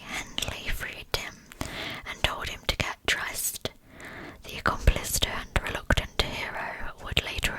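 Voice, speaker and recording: A woman speaks entirely in a soft, breathy whisper with no voicing. She narrates true crime in an ASMR style, scripted and hushed, telling the story in a measured way. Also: low-quality recording. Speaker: ASMR channel host, narrator.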